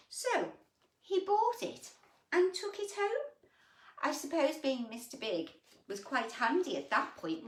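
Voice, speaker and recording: A woman storyteller reads a children's picture book at an unhurried pace, her pitch swinging expressively. She pauses for drama, stretches key words and adds gentle, sympathetic asides to the child listening. The sound is roomy, as if the microphone sits a little way off. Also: a little hiss or hum. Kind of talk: animated bedtime story reading with chatty asides to young listeners. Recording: roomy echo, distant microphone.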